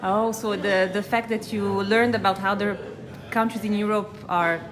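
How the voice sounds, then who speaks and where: A woman interviewer asking prompting, clarifying questions in a relaxed conversation. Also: medium pitch, moderate pace. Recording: music playing underneath.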